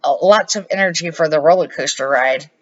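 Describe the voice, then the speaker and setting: A woman's voice: low voice, a little fast, voice pushed down. Older woman acting young girl, sensible, storybook reading, dull lo-fi recording. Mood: sleepy, dismissive.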